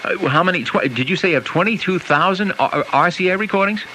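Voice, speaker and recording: A man's voice: sits high, quick pace, sharp tone. An American man hosting a live radio call-in interview, agreeable and often chiming in. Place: radio call-in program, taped off air. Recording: noisy home cassette of radio broadcast.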